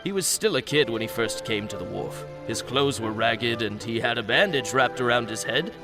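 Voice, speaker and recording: A man's voice: medium pitch, expressive, normal speed, over music, natural voice. A man voicing a nervous, defensive adult fishmonger at a wharf in a video game, stammering as he explains himself. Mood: explaining.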